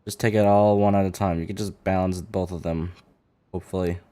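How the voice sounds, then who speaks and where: A man's voice: deep voice, fairly even intonation, fairly quick, warm tone. A man streaming a video game, giving casual, offhand and joking commentary. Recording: music behind the voice. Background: game music.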